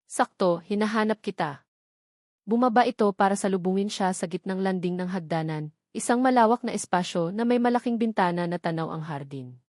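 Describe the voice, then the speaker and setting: A woman reads scripted story narration in a dramatic audiobook style. Her voice sits at a medium pitch. The recording is dry, with very little room sound. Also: expressive, a little fast, a little mellow, dry recording.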